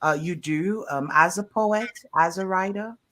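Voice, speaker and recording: Community radio host, female. Formal, courteous, deliberate delivery, very slow pace, lowish register. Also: a bit bright. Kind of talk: interviewing on a community radio program.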